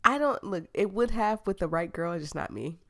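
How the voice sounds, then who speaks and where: A woman's voice: mid-range voice, expressive, moderate pace, lightly acted. A woman doing a shy stammering incubus. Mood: flustered. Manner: nervous, stammering. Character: shy awkward young male incubus with hooves.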